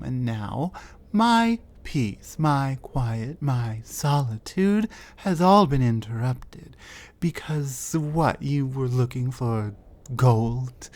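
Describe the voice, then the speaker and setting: A woman's voice: extremely low pitch, dark tone, heavily acted. A woman voicing a huge, reclusive female troll in an ASMR audio roleplay, curt and commanding. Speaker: voice actor.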